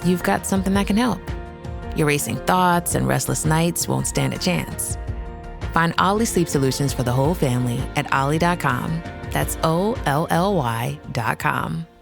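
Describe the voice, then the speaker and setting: A woman's voice: low voice, vocal fry. A woman announcer reading a polished, persuasive commercial. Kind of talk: scripted ad read. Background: music bed.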